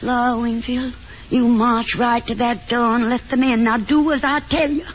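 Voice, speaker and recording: A woman's voice: a little high, little pitch movement, normal speed, natural voice. An actress playing a fussy, domineering middle-aged mother in a 1950s radio drama, coaxing and insistent. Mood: exasperated, commanding. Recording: muffled old 1950s radio broadcast with music.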